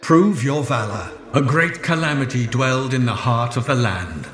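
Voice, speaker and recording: A man's voice: mid-range voice, animated, normal speed, a bit bright, natural voice. Man acting a solemn, echoing keeper of trials. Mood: solemn, grave. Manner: grave, archaic, declamatory. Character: solemn male ancient lancer lore-keeper presiding over trials. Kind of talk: scripted ceremonial game narration. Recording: echoey voice over game music.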